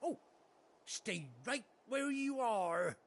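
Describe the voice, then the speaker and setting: An actor playing a chatty, kind-hearted rustic trader in a video game, with a British accent and a folksy, hearty, colloquial way of talking. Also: male voice, very high-pitched, animated, extremely slow, full character voice. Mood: pleased. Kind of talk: video game cutscene acting. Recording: game music behind the voice.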